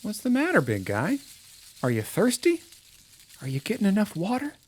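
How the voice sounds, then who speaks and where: Guarded, awkward loner, lively intonation. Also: male voice, high voice, moderate pace, breathy edge, full character voice. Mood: tender, concerned. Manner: polite but defensive. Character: guarded, awkward loner man who talks to his gourd. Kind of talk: audio drama acting.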